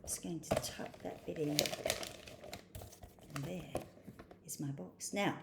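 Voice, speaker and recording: A woman's voice: sits low, fairly even intonation, slow pace, creaky, roomy sound. A woman casually talking through each small step of a craft, recorded on a computer microphone with faint noise. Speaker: craft activity presenter.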